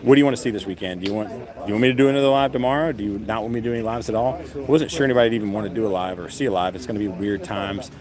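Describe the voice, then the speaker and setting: A low-pitched man talks loosely into his phone while standing in a long outdoor queue, stumbling through restarts and fillers and poking fun at himself. A dense crowd chatters around him the whole time. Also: very fast. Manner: rambling, self-deprecating, frequent fillers and restarts. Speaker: vlogger streaming live. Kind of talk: casual live stream commentary. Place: outdoors in a long festival queue near traffic.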